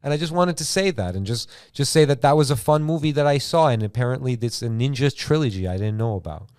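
A man's voice: medium pitch, fast, clean tone, dry recording, studio quality. A man hosting a casual chat show, telling stories in a rambling, slangy way and swearing freely. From Iran. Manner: animated slangy storytelling with frequent "like" and swearing. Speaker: host of a YouTube show.

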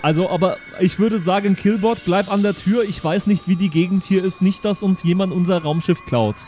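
A man's voice: high voice, fairly quick, darkish tone, soft background music, lightly acted. A man playing a cocky young spaceship crewman in an improvised audio play, slangy, with a verbal tic tagged onto sentences. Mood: cheeky, slangy.

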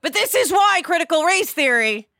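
A woman's voice: very high voice, lively intonation, moderate pace, bright, forceful tone, dry recording, clean studio sound. An American woman hosting a podcast conversation in an encouraging, emphatic way, often checking in with listeners. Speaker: podcast host. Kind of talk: animated conversational discussion.

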